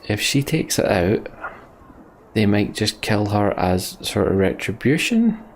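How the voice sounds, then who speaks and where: Low gravelly man reading game text. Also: sing-song, measured pace, a little hiss or hum. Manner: sweary, emotional. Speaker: let's play host reading game text aloud. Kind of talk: dramatic narration with casual asides.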